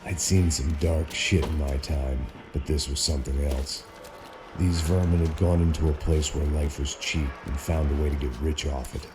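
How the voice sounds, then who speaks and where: A hard-bitten ex-cop narrating his own story in a video game. His voice-over is extremely deep, weary and dryly sardonic, in classic noir style. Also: fairly even intonation, normal speed, over music. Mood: grim.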